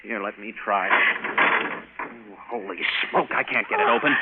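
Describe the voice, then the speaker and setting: A man's voice: on the low side, moderate pace, heavy background noise, natural voice. A man with an American accent playing a confident, easygoing young rocket captain in a 1950s radio drama. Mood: brisk, wary. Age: young adult. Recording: vintage radio, narrow band, music behind.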